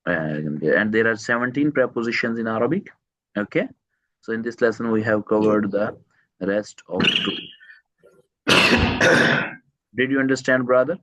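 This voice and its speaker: A man teaching Arabic one to one, repeating each phrase slowly, translating word by word and quizzing his student with short questions. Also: on the low side, animated, pushed and bright, low-quality recording. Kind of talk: one-to-one language lesson.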